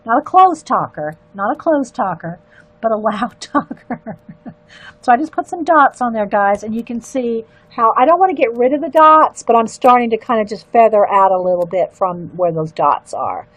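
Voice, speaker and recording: An older woman with a loud, carrying voice chats to her viewers and keeps wandering off on side stories. Her voice sits a little high for a woman, and the sound is close and dry with no room around it. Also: animated, normal speed, dry recording, rough recording. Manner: rambling, chatty asides to viewers. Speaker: livestream artist. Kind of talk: casual chat while coloring.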